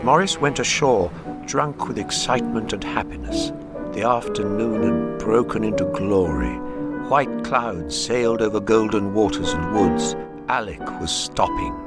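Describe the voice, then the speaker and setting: Lowish male storyteller over music. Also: a little slow, close to an everyday voice. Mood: lyrical. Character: literary storyteller narrating in the third person. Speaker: narrator. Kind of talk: scripted literary narration for radio drama.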